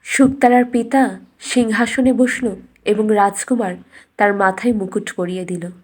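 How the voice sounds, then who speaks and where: A woman narrating a scripted cartoon fairy tale as a storyteller, in a measured, deliberate storybook delivery. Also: medium pitch, fairly even intonation.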